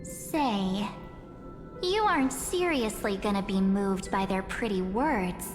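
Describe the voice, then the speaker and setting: An actress playing a mysterious, composed young woman in a video game, speaking in a measured, softly teasing, theatrical way. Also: medium pitch, animated. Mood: cool, knowing. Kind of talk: scripted video game dialogue. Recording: reverberant game audio with music.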